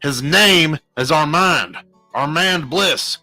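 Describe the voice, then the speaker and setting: A man voicing a hot-headed outlaw in a western story, coarse, stern and forceful. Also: a little high, racing, no room sound, faint music behind, voice pushed up. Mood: stern accusing. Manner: coarse cowboy talk, shouting or stern.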